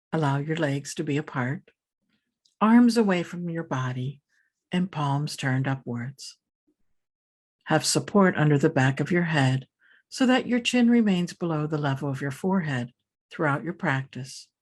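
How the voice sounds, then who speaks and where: A woman meditation teacher leading a scripted guided meditation in a calm, soothing, measured manner with pauses between phrases. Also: low voice.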